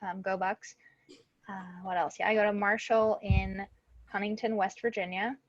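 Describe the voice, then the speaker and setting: A woman's voice: mid-range voice, flat delivery, moderate pace, no echo, lo-fi sound. A young woman from Ohio, a medical student, introducing herself in a chatty way. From Dayton, Ohio. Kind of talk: casual self-introduction. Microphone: video call.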